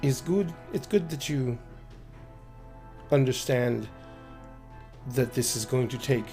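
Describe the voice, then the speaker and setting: A man voicing a wealthy older aunt who is calm and grave, speaking slowly in a hushed, measured way. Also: medium pitch, soft background music. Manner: slow, hushed, measured delivery.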